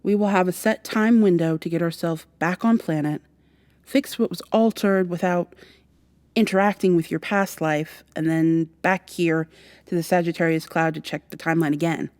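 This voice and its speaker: A woman's voice: fairly low pitch, steady tone, moderate pace, no room sound, lightly acted. A woman playing an earnest, protective time traveler in an ASMR roleplay, speaking close to the mic in a hesitant, rambling, reassuring way. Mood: earnest, explaining. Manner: hesitant, rambling, gently reassuring, some whispering. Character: earnest, flustered, long-winded female time traveler, protective. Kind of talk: ASMR roleplay, softly acted monologue to the listener. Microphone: close binaural microphone.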